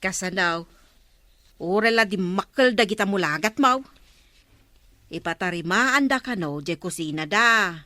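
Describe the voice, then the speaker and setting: A woman's voice: medium pitch, lively intonation, measured pace, pauses often, sharp tone, dry recording. A woman with an Ilocano accent playing a frank, scolding village woman in a radio comedy drama. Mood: chiding.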